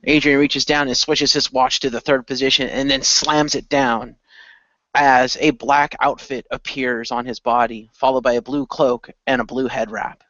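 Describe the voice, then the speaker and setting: A man's voice: medium pitch, flat delivery, normal speed, fairly bright tone, no room sound, dull audio. A man narrating his character's actions in an amateur radio play. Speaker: narrator and player.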